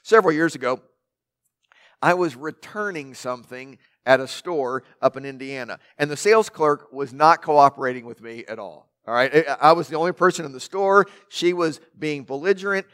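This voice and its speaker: A pastor preaching a sermon, emphatic, with rhetorical questions and building lists, and a naturally loud voice. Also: male voice, on the high side, normal speed, clear, resonant tone.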